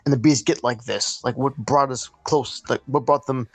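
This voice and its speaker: A man's voice: medium pitch, normal speed, slightly rough, no room sound. A man with an American accent chatting casually as a livestream guest, informal and often repeating his phrases. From Washington, D.C., United States. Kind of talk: casual chat.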